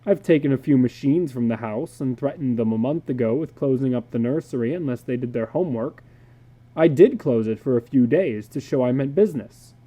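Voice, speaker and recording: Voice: medium pitch, brisk, warm tone, lo-fi sound, lightly acted. A reader voicing a worried, defensive father in a dramatic story reading, with an earnest, questioning manner.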